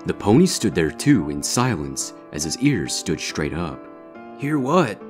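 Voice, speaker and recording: A man's voice: extremely low pitch, sing-song, unhurried, darkish tone, faint music behind. A man reading a scripted horror story as its narrator.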